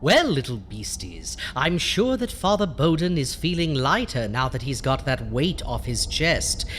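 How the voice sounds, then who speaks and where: An actor playing a campy horror storyteller, theatrical and relishing every word as host of an audio show. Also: male voice, mid-range voice, very animated, a little slow, fairly bright tone, slight background noise. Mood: gleefully macabre.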